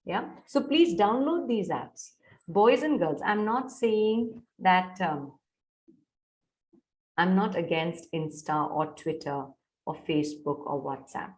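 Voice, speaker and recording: A middle-aged woman with an Indian English accent gives an online soft skills lecture to students. She coaxes them and keeps checking whether they are following. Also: on the low side, lively intonation, takes it slowly, rough recording. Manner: coaxing, mixes Hindi into English, checks on students often. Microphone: video call.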